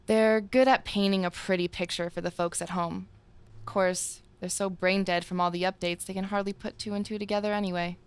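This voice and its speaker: A woman playing a blunt, sharp-tongued resistance fighter in an audio drama, sardonic and ready to confront. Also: medium pitch, flat delivery, a little fast, smooth, natural voice. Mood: bitter.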